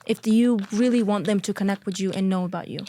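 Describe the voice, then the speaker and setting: A woman's voice: medium pitch, quick pace, warm tone, a little hiss or hum. A woman hosting a podcast, asking questions and summarizing in a conversational interview style. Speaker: podcast host.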